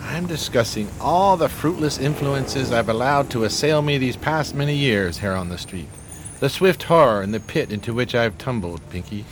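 A man's voice: mid-range voice, lively intonation, normal speed, noisy background, close to an everyday voice. An actor playing an eloquent, melancholy homeless man of 1920s New York in florid radio-theater style. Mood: brooding, remorseful. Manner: florid, grandiloquent, theatrical phrasing.

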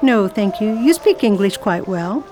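A woman's voice: mid-range voice, animated, measured pace, noisy background, natural voice. A woman playing and narrating as a proper, curious English lady traveler in an amateur radio drama. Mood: genteel, curious. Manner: prim, refined and inquisitive. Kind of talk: first-person narration and radio drama acting.

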